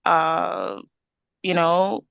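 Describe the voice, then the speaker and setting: A woman's voice: fairly low pitch, level tone, drawn-out words, vocal fry, a bit bright, smooth, no echo, studio quality. A young woman with an Italian accent, telling her story as an interview guest, earnest and full of restarts. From Italy. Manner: earnest, rambling with many restarts and fillers.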